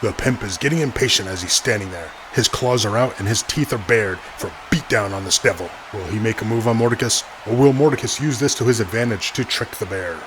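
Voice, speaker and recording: A man's voice: on the low side, brisk, few pauses, natural voice. A man playing a keen play-by-play wrestling commentator. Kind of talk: sports commentary. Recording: clearly noisy background.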